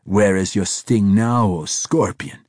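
A man's voice: lowish voice, slow pace, a little mellow, dry recording, studio quality, voice pushed up. A man voicing a menacing, mocking henchman with a harsh, guttural growl. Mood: mocking menace.

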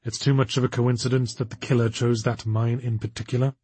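A man's voice: mid-range voice, flat delivery, mellow, slightly rough, dry recording, natural voice. Man voicing a cool, unflappable woman detective. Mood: cool, measured. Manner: measured, bored, unintimidated. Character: cool, unflappable female occult detective boss.

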